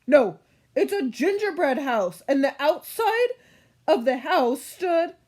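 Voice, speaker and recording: Woman playing exasperated, slow-paced female storyteller. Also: high voice, sing-song, a little mellow, voice pushed up, full character voice. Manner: deliberate, exasperated. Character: exasperated adult storyteller correcting her wayward characters. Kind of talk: comic children's story read-aloud.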